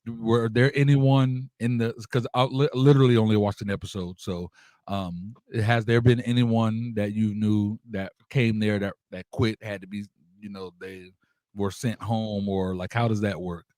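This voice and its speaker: A man with a fairly low voice hosts a relaxed, informal interview. He feels his way into questions haltingly, and the sound is close and dry. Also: dry recording. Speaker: radio show host, music producer. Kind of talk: casual interview chat.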